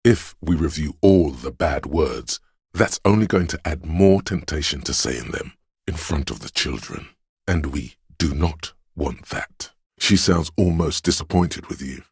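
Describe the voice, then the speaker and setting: Game role: stern, imperious male voice of authority, extremely low register, dry close sound, declamatory delivery. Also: measured pace, darkish tone, slightly rough, no echo, full character voice. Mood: stern, authoritative. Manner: declamatory, imperious, clipped pronouncements. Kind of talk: scripted video game narration and voice acting.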